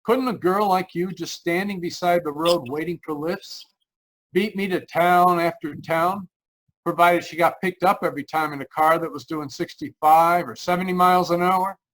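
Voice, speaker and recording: A man's voice: sits high, fairly even intonation, normal speed, fairly bright tone, rough recording. Man acting a haunted, anxious radio-drama driver. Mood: uneasy. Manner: tense, earnest, dramatic storytelling. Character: haunted, anxious male driver narrating his road trip. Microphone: video call. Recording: live video-call performance.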